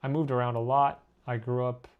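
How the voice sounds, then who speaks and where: A young man born in Korea, an artist chatting casually with viewers while he draws on a live stream, self-deprecating and quick to laugh. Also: medium pitch, level tone, clean tone, narrow, tinny audio. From born in Korea, raised abroad. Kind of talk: casual live-stream chat reading comments.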